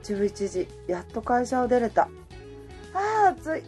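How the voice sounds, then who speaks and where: 女性が演じる 恋に揺れる働く女性の語り. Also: female voice, a little high, big pitch swings, measured pace, a little mellow, faint music behind. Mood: romantic, then weary, annoyed. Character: romantic working woman, easily flustered, telling her own story. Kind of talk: radio drama dialogue and first-person narration.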